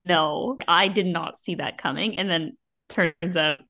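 A woman's voice: lowish voice, measured pace, gravelly, bright, forceful tone. A woman co-hosting a book podcast, giving opinions in casual, exclamatory talk peppered with "like". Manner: informal, exclamatory, frequent "like".

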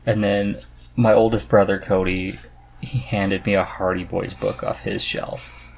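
Podcast host, male, deep voice, conversational and a bit halting, recorded with light noise behind him. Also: flat delivery, gravelly, a little mellow, low-quality recording. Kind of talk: casual conversation about reading.

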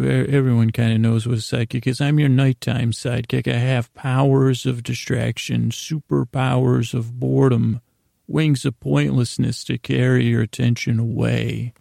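A man hosting a bedtime podcast in a gravelly voice, rambling in a halting, self-deprecating way that trails off mid-sentence to lull listeners to sleep. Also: medium pitch, mellow, dry recording, studio quality. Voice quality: gravelly, creaky. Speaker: podcast host.

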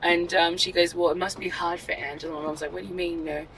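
A young woman, an actor and writer, talking candidly in a casual interview and telling animated stories with many fillers and restarts. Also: lowish voice, fast, a little hiss or hum, poor audio. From Australia.

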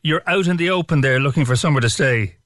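A man's voice: fairly high pitch, fast talker, a bit bright, no echo, clean studio sound. An Irish man hosting a live radio phone-in, interviewing a caller. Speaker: radio host.

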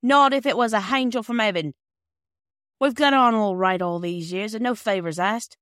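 Give a woman playing a proud working-class older man in a storybook, gruff and loud. He speaks in blunt dialect. The recording is dry and close. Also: mid-range voice, fairly even intonation, fairly quick, no room sound, natural voice. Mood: angry.

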